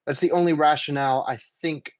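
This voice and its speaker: A man in a casual debate, making his points in a deliberate, halting, questioning way over dull, lo-fi audio. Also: fairly high pitch, steady tone, no room sound.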